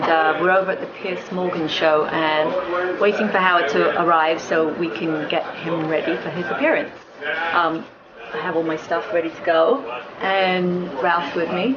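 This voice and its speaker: A man's voice: high-pitched, dull audio. A man working as a hair and makeup stylist, introducing himself casually. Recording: loud music under the voice. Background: loud music.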